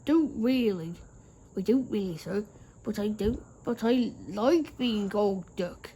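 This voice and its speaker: A woman's voice: mid-range voice, lively intonation, measured pace, warm tone, slight background noise, close to an everyday voice. A woman voicing a polite, proud, plucky male tank engine in a children's storybook, speaking earnestly.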